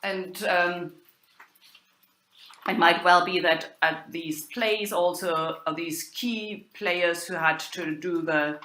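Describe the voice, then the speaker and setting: A woman giving an academic lecture in a halting way, pausing and hesitating often. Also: fairly low pitch, steady tone, bright, forceful tone. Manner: halting delivery with long hesitations. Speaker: lecturer, university professor. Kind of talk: spoken lecture on old drama texts. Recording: echoey room.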